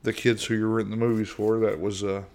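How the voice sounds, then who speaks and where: A middle-aged man from the United States hosting a casual solo podcast in a monotone voice, rambling with lots of fillers and restarts. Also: lowish voice, fast talker, vocal fry. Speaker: podcast host.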